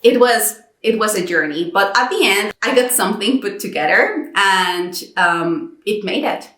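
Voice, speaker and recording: A woman's voice: medium pitch, measured pace. A thirty-year-old woman from Mexico telling her personal story in a chatty vlog, acting out her own thoughts as she goes. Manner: animated retelling with quoted inner dialogue. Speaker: YouTube content creator. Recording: roomy echo.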